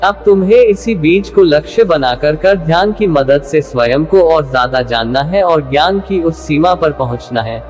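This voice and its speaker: A wise male spiritual master, as a man narrates him gives calm, measured instruction in a moral storybook tale. The voice is a little high and swims in hall reverb. Music plays behind it. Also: lightly acted. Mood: calm, teaching.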